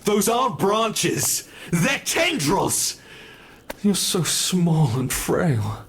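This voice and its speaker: A British man voicing a predatory male monster from under the bed in a horror roleplay. He speaks slowly and playfully, taunting his prey and dropping to whispers. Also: high-pitched, big pitch swings, a bit bright, exaggerated voice. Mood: gleeful, predatory.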